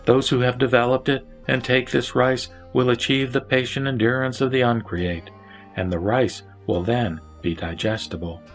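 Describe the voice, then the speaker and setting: A man reciting scripted scripture narration in a measured, solemn manner. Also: mid-range voice, fairly even intonation. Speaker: narrator and reciter of a Buddhist sutra. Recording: background music under the voice. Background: instrumental music.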